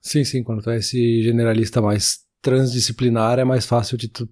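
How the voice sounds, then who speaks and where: A man, co-hosting a podcast in relaxed casual chat, full of fillers. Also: medium pitch, normal speed. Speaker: podcast co-host.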